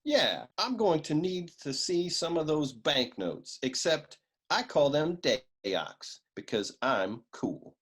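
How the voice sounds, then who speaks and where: A man acts as a cocky private detective in a noir radio comedy. His voice is husky and swings noticeably up and down, with a cool, lecherous, deadpan swagger. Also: on the high side, takes it slowly, full character voice. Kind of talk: comic noir radio play acting.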